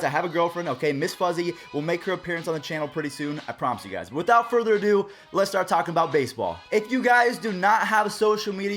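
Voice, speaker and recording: Hoarse, chatty man with high voice. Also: fairly bright tone. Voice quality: raspy. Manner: chatty, upbeat, addresses viewers directly. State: slightly hoarse from a cold. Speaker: baseball channel host. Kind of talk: casual vlog commentary.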